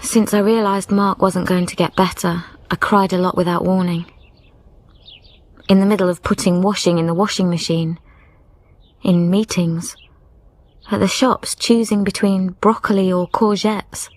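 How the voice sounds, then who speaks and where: An actress playing a grieving young widow, narrating a radio drama in a measured, lyrical, introspective way. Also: female voice, mid-range voice, fairly even intonation, darkish tone, natural voice. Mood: sorrowful. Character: young widowed woman, grieving, reflective and solitary. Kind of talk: scripted first-person radio drama narration. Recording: music behind the narration.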